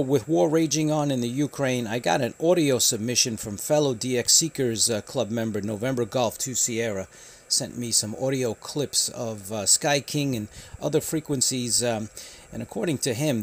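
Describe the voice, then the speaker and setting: A man from the United States hosting a radio hobby channel, giving a casual news update in a halting, informal way. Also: medium pitch, breathy edge. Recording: faint background noise.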